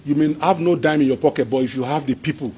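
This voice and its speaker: A man with a Yoruba-accented Nigerian English voice, a traditional king speaking informally to an audience in a hall, good-humoured and quick to chuckle as he repeats his phrases. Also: a little high, darkish tone, husky. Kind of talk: informal speech and Q&A answers.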